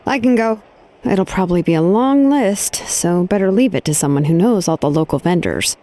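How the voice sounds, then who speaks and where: A woman playing a calm, dependable young female ally in a video game, gentle and considerate. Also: fairly low pitch, normal speed, slight background noise, natural voice. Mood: calm, caring.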